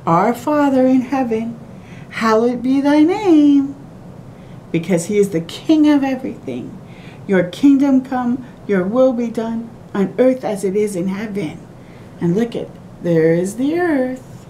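A middle-aged woman reads a children's picture book aloud in a mellow, rounded voice. She speaks in simple, sing-song phrases aimed at small children and adds little asides. The home recording has noticeable background noise and a low rumble. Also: lively intonation, unhurried. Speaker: children's storytime reader. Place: small room at home, by a window.

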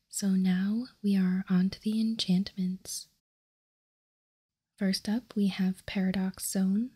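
A woman whispering softly and calmly in an ASMR style, explaining and reading out text as a host, close to the microphone. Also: medium pitch, breathy edge. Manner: soft, unhurried, calm explaining. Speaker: host of card-game ASMR channel.

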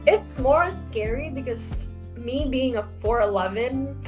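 A woman's voice: on the high side, expressive, measured pace, faint music behind. A young woman from the Philippines with an American accent, chatting as an interview guest over a video call, laughing often between fillers. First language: Tagalog. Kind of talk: casual interview. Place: small indoor room, video call. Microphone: desk microphone on video call.

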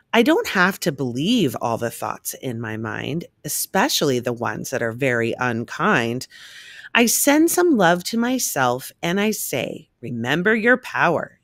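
Woman, children's story reader, rhyming read-aloud, slow pace, dry close sound. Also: extremely low pitch, dry recording. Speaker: reader of a children's picture book.

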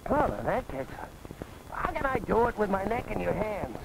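Cocky young American private, very high, swooping voice, old film drama. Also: male voice, sing-song, moderate pace, vocal fry, full character voice. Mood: flustered. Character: cocky, excitable young male soldier. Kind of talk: 1940s film acting. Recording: noisy 1940s film soundtrack.

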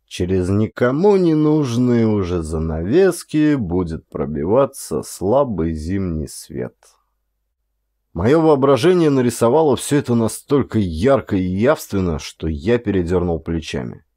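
A man narrating first-person fiction as an audiobook actor, dramatic, brooding and expressive. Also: low-pitched, unhurried, no room sound, clean studio sound.

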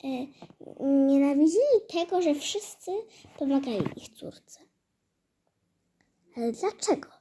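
High-voiced woman telling a halting fairy tale. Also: animated, mellow, a little hiss or hum. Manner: halting, hesitant delivery with stumbles and repeated phrases. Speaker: storyteller. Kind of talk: fairy-tale storytelling for a hobby audio play.

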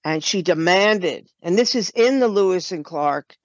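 A woman speaking as a writer in a podcast interview, explaining her work haltingly with broken-off sentences. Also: lowish voice, animated, no echo, dull audio. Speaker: guest, writer and audio drama producer.

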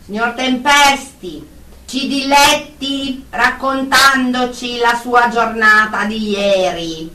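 A woman's voice: a little high, slow pace, pushed and bright. A woman playing a stern, controlling group therapist in a radio drama, speaking formally and with authority. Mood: formal, reproving. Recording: roomy, distant mic, faint noise.

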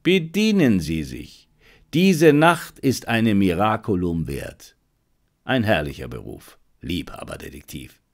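A man voicing a confident gentleman amateur detective in an audiobook, self-assured and commanding, given to reflection. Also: sits low, sing-song, slow pace, creaky, voice pushed down, full character voice. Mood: satisfied, musing.

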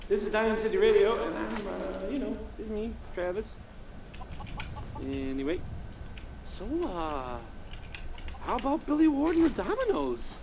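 An actor playing a nervous, awkward young radio DJ in a game, stammering and hesitant, heard over a radio playback. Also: male voice, sits high, animated, measured pace, long pauses, reverberant, background noise. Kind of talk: radio DJ patter.

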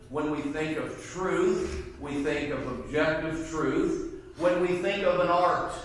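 A man's voice: fairly high pitch, fairly bright tone. A middle-aged man teaching a Bible class in a church sanctuary, emphatic and often putting questions to the class. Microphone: far camera at the back of the room. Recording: echoey room from far off.

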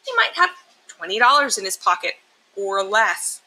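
A woman's voice: mid-range voice, normal speed, poor audio. A woman reading a children's picture book aloud expressively, explaining and emphasizing things for young listeners. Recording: clear steady background noise.

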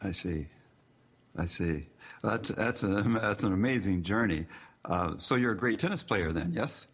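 A man hosting a podcast interview, earnest and warm in his words, often repeating himself and using fillers. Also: fairly low pitch, lively intonation, measured pace, breathy edge. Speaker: podcast host. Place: California.

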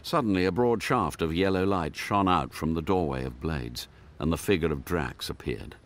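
A man's voice: low-pitched, normal speed. A man reading scripted narration for a radio drama.

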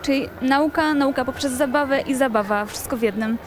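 A young woman presenter with a high voice, asking brisk, polite questions. Faint shopping-mall noise sits behind her. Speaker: interviewer. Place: shopping mall seating area, indoors.

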